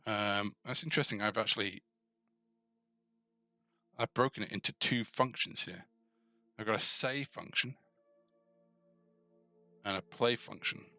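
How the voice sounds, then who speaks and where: Low, bright-voiced man thinking aloud while coding. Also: gravelly, faint music behind. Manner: informal, tentative, pauses mid-thought as he works. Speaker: host of a coding tutorial stream. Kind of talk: casual live coding walkthrough, thinking aloud.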